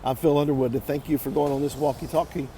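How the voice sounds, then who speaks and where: Higher-voiced man in noisy outdoor walk-and-talk. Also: steady tone, warm tone. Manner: deliberate, repeats phrases for emphasis. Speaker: vlog host, motivational speaker. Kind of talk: casual motivational walk-and-talk monologue. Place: outdoors, walking on city streets. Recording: clear outdoor street noise.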